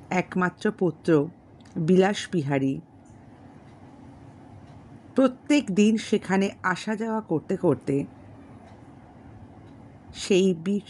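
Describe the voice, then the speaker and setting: A woman narrating a classic novel as a storyteller, formal and measured, pausing between short phrases. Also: lowish voice, a little mellow. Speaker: storyteller and channel host. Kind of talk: audiobook narration of a classic novel with spoken introduction.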